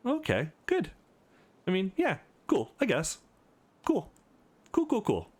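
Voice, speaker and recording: Man putting on an awkward young woman, higher pitched. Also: wildly swooping pitch, slow pace, breathy edge, full character voice. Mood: awkward, bashful. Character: petite young woman gamer, awkward, shy, grateful.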